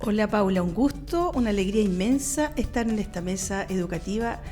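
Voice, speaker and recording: A woman chats as a guest on a program. Her pitch is in the middle, and her way of talking is effusive and casual, with words repeated. Soft music runs behind. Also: expressive, fairly quick. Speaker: guest, nun working in schools. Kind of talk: casual warm conversation. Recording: music bed under the voice.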